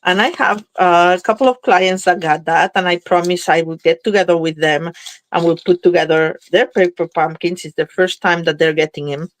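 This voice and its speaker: A woman's voice: lowish voice, fairly even intonation, moderate pace, no room sound. A slightly congested woman chatting casually as she demonstrates card making, thinking aloud while she works. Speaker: craft demonstrator. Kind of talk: live crafting demo chat.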